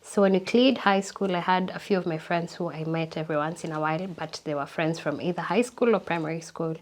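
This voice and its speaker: A young woman telling her life story in an interview, reflective and unhurried, often repeating words for emphasis. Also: lowish voice, steady tone. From Kenya. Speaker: interview guest.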